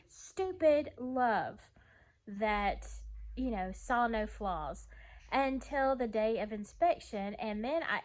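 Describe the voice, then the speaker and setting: A woman giving a casual vlog house tour, talking in a halting, gushing, conversational way. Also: a little high.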